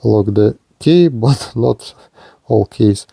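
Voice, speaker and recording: A man giving a hands-on demonstration of gear as a product reviewer, speaking in short, halting phrases. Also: mid-range voice, animated, gravelly, noisy background.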